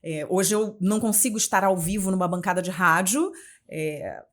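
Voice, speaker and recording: A woman's voice: lowish voice, normal speed. Chatty Carioca woman in her forties. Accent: Carioca, Rio de Janeiro. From Rio de Janeiro, Brazil. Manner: chatty and expressive, restarts phrases, frequent tag questions. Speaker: guest, journalist and communication mentor. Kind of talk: casual interview conversation.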